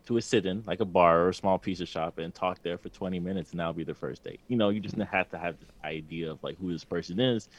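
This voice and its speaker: A man's voice: deep voice, quick pace, few pauses, no room sound. A man co-hosting a podcast, chatting casually and joking in a laid-back way, often filling with "like". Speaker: podcast co-host. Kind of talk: casual banter.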